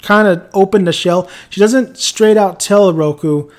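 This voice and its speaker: A man's voice: high voice, measured pace. A man with a Mexican accent giving a casual, rambling video review, often restarting his sentences and chuckling as he talks. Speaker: anime reviewer.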